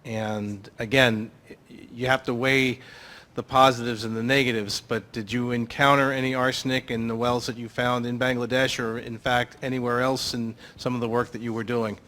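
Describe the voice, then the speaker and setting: A man in the audience asking a question at a conference, hesitant, with pauses and fillers. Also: medium pitch, level tone, pushed and bright.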